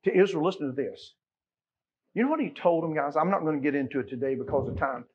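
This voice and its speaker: A middle-aged American man preaching a Baptist sermon, emphatic, moving between quiet asides and loud exclamations and quoting scripture. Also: fairly high pitch, lively intonation, quick pace, highs cut off, slight background noise. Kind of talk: Sunday sermon with scripture quotations. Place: small church sanctuary, at the pulpit.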